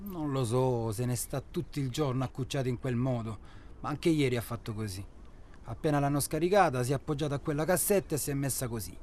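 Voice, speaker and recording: A male actor as a rough, curt safari guide in a naturalistic radio drama. He has a medium-pitched voice and presses his point in colloquial, impatient speech. Audible background ambience sits behind him. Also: a little fast, a little mellow, a little hiss or hum, lightly acted. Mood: matter-of-fact.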